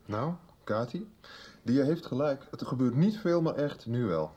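Sardonic husky young son. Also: male voice, medium pitch, lively intonation, a little slow, mellow. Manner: sarcastic, blunt. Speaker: son in a radio soap. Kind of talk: radio drama acting.

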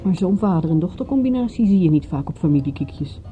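An actress playing a detective's down-to-earth female companion, speaking in a dry, colloquial, gently teasing radio-drama manner. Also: fairly low pitch, animated, fast talker, over music, close to an everyday voice. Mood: wry. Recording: dull, muffled old radio-play recording.

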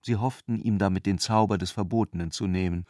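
A man's voice: deep voice, fairly even intonation, a little fast, warm tone, no room sound, clean studio sound. A man narrating a literary radio drama as a scripted storyteller.

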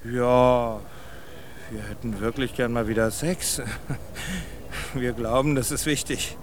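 Man as frustrated helpless husband, radio play, slow talker, bit of background noise. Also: mid-range voice, fairly even intonation, lightly acted. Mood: sheepish. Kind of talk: German radio drama acting.

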